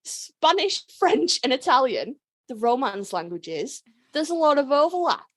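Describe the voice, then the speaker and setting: Lively, sweary woman podcaster in a dry room. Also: sits high, unhurried, no echo. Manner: sweary, excitable, rambling. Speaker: podcast host. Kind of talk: casual, jokey fan podcast chat.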